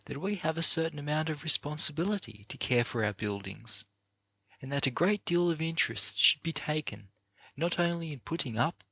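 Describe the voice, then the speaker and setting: A man narrating an audiobook of a motivational address, reading in a deliberate, earnest, oratorical way with measured phrases. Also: on the high side, pushed and bright, husky, no room sound.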